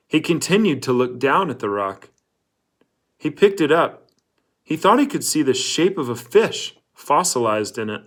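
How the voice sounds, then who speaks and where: A man's voice: fairly high pitch, a bit bright. A man narrating a children's novel in a read-aloud storytelling style.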